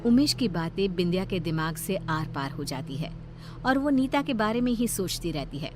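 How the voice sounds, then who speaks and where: A woman's voice: on the low side, expressive, normal speed, background noise. A woman giving scripted narration for a cartoon horror story.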